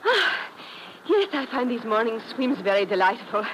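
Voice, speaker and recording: An actress playing a poised young dancer in a 1950s radio drama, speaking formally and graciously. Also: female voice, fairly high pitch, big pitch swings, normal speed. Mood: gracious, coy. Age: young adult. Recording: noisy old radio broadcast recording.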